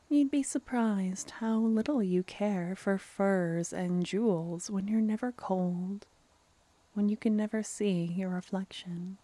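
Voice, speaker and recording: A woman voicing a playful, clever female vampire in a soft-spoken ASMR fantasy roleplay, teasingly menacing and often near a whisper. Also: medium pitch, no echo, natural voice. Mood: fascinated. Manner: unhurried, theatrical, teasing, slipping into whispers.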